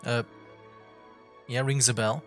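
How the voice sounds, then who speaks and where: A man's voice: medium pitch, fairly even intonation, slow, fairly bright tone. A man doing casual, rambling livestream commentary on a game, with an amused manner. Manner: rambling, amused, given to chuckling. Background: faint game music.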